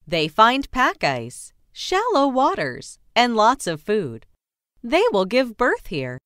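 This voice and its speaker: A woman narrating a children's nonfiction book as a scripted read-aloud, with careful, clearly separated phrasing. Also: fairly high pitch, wildly swooping pitch, slow pace, a bit bright, no room sound, studio quality.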